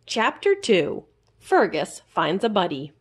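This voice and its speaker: A woman reading a children's story aloud as a library storyteller, in an expressive, simple storytelling manner. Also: mid-range voice, slow pace, husky.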